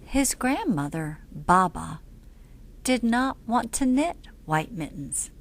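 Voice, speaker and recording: A woman reading a children's picture book aloud in an expressive, measured storytelling style with emphatic phrasing. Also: on the high side, vocal fry. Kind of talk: expressive scripted storybook narration.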